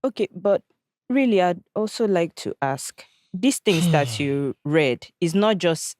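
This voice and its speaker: A woman interviewing a guest, raising his partner's complaints in a measured, careful way. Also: on the low side, expressive, darkish tone, dry recording, clean studio sound. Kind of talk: casual podcast interview.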